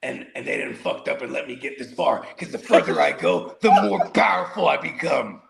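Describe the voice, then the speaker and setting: A man's voice: mid-range voice, big pitch swings, normal speed, vocal fry, over loud music. A man in his forties co-hosting a podcast, rambling through casual, profane banter and laughing often. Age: 40s. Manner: rambling, laughs often, says "you know what I mean". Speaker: podcast co-host.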